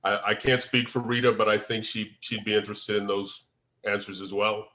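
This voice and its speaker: A man leading a discussion of a script on a video call, explaining in a deliberate way. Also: lowish voice, flat delivery, pushed and bright. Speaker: playwright leading a table-read feedback session. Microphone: video call microphone.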